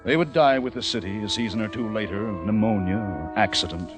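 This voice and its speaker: An actor playing a haunted, educated young American survivor, narrating intensely in the theatrical style of old-time radio drama. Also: male voice, on the low side, fairly quick, lightly acted. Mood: grim, brooding. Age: young adult. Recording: vintage radio recording with music behind.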